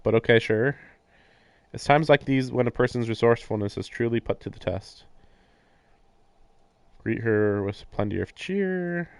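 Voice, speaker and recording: A man's voice: medium pitch, no echo. A man reading a visual novel's narration aloud on a stream, breaking into casual commentary.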